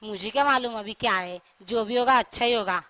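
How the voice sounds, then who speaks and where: A gentle young wife acted out by a woman talks in a high, bright voice. She coaxes and reasons in stage-skit dialogue, pressing her point with pleading questions. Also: a little fast, dry recording, close to an everyday voice. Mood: coy, patient.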